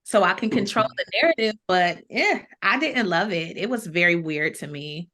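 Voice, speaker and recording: A woman's voice: fairly low pitch, expressive, moderate pace, sharp tone. A woman in casual, opinionated podcast chat, speaking bluntly with slang and swearing. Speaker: podcast guest.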